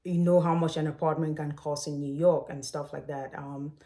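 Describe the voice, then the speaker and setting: Woman from Cameroon, late twenties, vlog host; direct and chatty, mellow lowish voice. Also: steady tone, moderate pace, roomy sound. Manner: chatty, direct, addresses viewers as "guys". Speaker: financial coach and host. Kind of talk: casual to-camera advice vlog.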